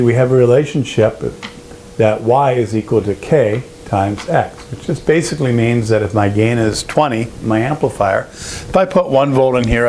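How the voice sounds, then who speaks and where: A man presenting an informal technical lesson, explaining step by step in a halting, explanatory way. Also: medium pitch, a little mellow, slight background noise. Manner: explanatory, halting, with frequent throat clearing. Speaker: presenter of an audio engineering lesson.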